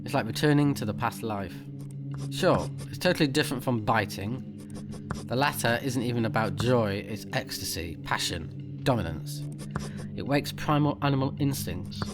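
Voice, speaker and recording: Guy streaming a game, mid-range voice, blunt and expressive, game music clearly behind him. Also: mid-range voice, moderate pace. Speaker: let's play streamer. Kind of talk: casual gameplay commentary with read-aloud game text.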